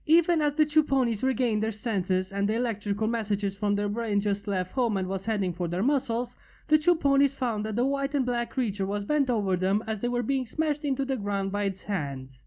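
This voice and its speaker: A woman reading fan fiction aloud in a steady narration. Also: fairly high pitch, normal speed. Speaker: fanfic reader. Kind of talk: amateur fanfic narration.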